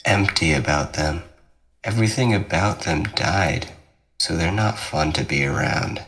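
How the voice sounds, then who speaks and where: A young male ghost twin played by a man speaks in a deep voice with a sharp, forceful edge. He teases and coaxes in a measured way, with obsessive menace, as in an ASMR horror roleplay. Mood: matter-of-fact. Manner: taunting, measured, darkly coaxing.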